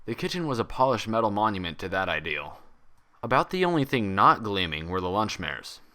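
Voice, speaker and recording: A man's voice: lowish voice, big pitch swings, a little fast, sharp tone. A man narrating fan fiction as a scripted, read-aloud story.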